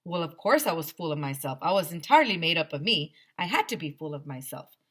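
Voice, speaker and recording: A woman teacher reading a children's chapter book aloud in an expressive, animated way. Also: low voice, quick pace, husky. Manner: animated, acts out dialogue.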